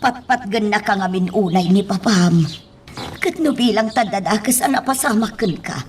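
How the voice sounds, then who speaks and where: An actress with an Ilocano accent playing a fretful, devoted nanny in a radio drama. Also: female voice, mid-range voice, animated, moderate pace, darkish tone, distant mic, a little hiss or hum, lightly acted. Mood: worried, scolding. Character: fretful female nanny devoted to her young ward.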